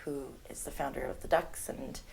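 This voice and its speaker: Young woman, singer-songwriter, low voice; chatty, animated storyteller for interview. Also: moderate pace, gravelly, a little hiss or hum. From Canada. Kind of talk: casual interview.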